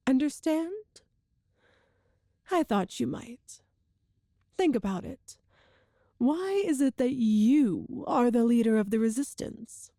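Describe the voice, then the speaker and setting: A woman playing a cruel, seductive empress in a dramatic ASMR roleplay, with haughty, theatrical, deliberate phrasing. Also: on the high side, mellow, dry recording, studio quality. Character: cruel imperious empress, seductive, menacing tyrant.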